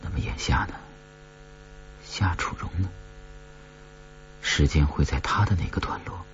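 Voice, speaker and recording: Male audiobook narrator, low register with lively swings, dramatic suspense delivery, slightly muffled recording. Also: slight background noise. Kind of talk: dramatic scripted horror-novel narration.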